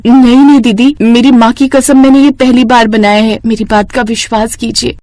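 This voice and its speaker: A woman's voice: on the high side, level tone, normal speed, a little mellow, no echo, close to an everyday voice. A woman voicing a humble, earnest housemaid in a cartoon story, speaking politely and deferentially. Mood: pleading. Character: humble, earnest poor housemaid, a grateful daughter-in-law. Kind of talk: Hindi cartoon story dialogue.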